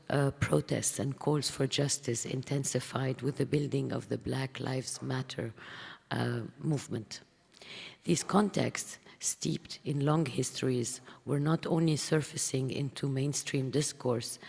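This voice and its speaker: A woman reading a formal written talk aloud in a measured, deliberate, literary manner, with a gravelly voice. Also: rumbling low, darkish tone. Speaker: speaker reading another writer's paper. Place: conference hall, public event. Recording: echoey hall with long reverb.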